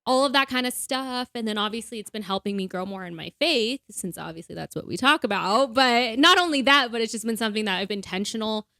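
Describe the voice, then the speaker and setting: A woman's voice: on the high side, lively intonation, fast talker, few pauses, pushed and bright, dry recording, clean studio sound. A young woman co-hosting a podcast, chatting in a bubbly, casual way with lots of fillers. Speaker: podcast co-host. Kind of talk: casual chat.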